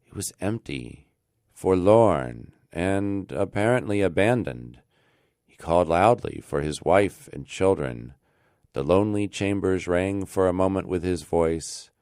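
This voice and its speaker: An American man reads a classic tale as a storyteller, in an expressive, deliberate manner with dramatic emphasis. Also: low voice, clear, resonant tone, no echo, clean studio sound. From United States. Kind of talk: scripted narration of a classic tale.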